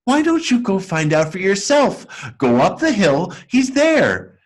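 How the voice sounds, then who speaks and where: A man's voice: high voice, huge pitch swings, unhurried, voice pushed up, full character voice. A man voicing a carefree young female wooden puppet person in a read-aloud storybook, light, breezy and encouraging.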